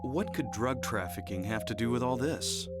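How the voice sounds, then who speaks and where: An actor playing a worried father searching for his daughter in a horror video game, earnest and halting in a stilted way. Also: mid-range voice, close to an everyday voice. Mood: worried, puzzled. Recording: game audio under loud synth music.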